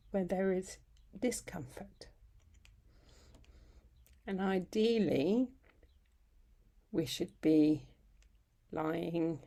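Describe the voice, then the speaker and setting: A woman leading a guided meditation as a yoga nidra instructor, soft, breathy and husky, soothing and unhurried, with long pauses between short phrases. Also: fairly low pitch.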